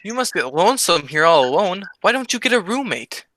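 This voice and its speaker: Bright-voiced cowardly bumbling comic sidekick, played by a man. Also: high-pitched, big pitch swings, brisk, heavily acted. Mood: naive, curious. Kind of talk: vintage comedy radio double-act.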